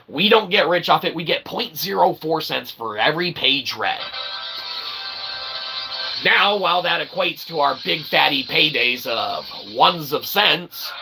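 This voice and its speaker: Gravelly man ranting with loud outbursts. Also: on the high side. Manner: jumps between mutters and sudden loud outbursts; peppers talk with "okay?" and "literally". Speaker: self-published author and channel host. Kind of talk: rambling monologue to camera.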